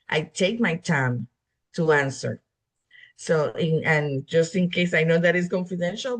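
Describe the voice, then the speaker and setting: A woman from Puerto Rico with a Spanish accent, a native Spanish speaker, talks slowly in a lowish voice. She tells her story earnestly and halts often to restart her sentences. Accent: Puerto Rican Spanish. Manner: earnest, halting with frequent restarts. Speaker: guest, exam passer. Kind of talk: casual interview storytelling.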